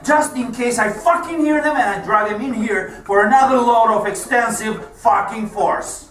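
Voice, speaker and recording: A man's voice: very high-pitched, moderate pace, fairly bright tone. A man playing a volatile, self-righteous police detective in a stage monologue, profane and mocking as he interrogates. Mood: seething, righteous. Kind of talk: theatrical monologue performance. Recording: roomy, distant mic, music behind.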